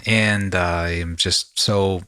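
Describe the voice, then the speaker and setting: A man's voice: low voice, fairly even intonation, very slow, bright, forceful tone, clear, resonant tone, no room sound, clean studio sound. A man hosting a podcast in a casual, chatty way, effusive and supportive toward the guest. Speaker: podcast host.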